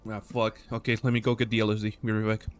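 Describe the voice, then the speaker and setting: A man's voice: fairly low pitch, level tone, quick pace, smooth. A man hosting a casual livestream chat, rambling and joking with many fillers. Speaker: stream host.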